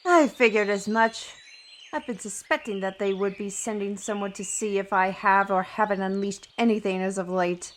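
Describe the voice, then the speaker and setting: A woman playing a weary, irritable, paranoid artist with magical abilities in a fantasy audio drama, grumbling and halting. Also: medium pitch, a little hiss or hum, natural voice. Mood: grumbling, wary.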